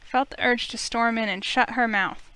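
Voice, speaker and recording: High-pitched woman reading a story dramatically. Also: steady tone, moderate pace, sharp tone, smooth, no echo. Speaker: let's player reading the heroine's narration aloud.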